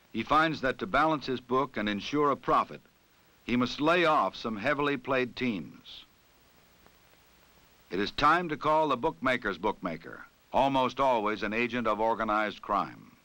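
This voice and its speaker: An American man reads scripted narration for a 1960s police training film in a formal, authoritative, measured manner. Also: mid-range voice, lively intonation, pushed and bright, no echo, low-quality recording.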